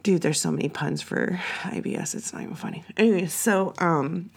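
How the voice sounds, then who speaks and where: A woman hosting her own podcast, raspy and hoarse from being sick, rambling and swearing casually. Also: on the low side, a little fast. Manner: rambling and profane, lots of fillers. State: sick, hoarser than usual. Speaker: podcast host. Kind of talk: casual solo podcast chat.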